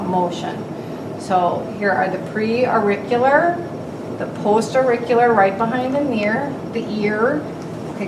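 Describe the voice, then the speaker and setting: Woman instructor in a noisy, echoey room. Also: mid-range voice. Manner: methodical, explanatory, lists anatomical terms. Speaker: clinical instructor demonstrating a physical exam. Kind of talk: instructional demonstration, narrating while examining. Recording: roomy, distant mic, clear background noise.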